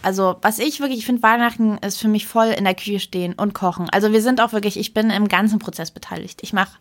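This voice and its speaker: A young woman co-hosting a podcast, chatting casually in an animated, emphatic, rambling way. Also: mid-range voice, quick pace. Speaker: podcast co-host.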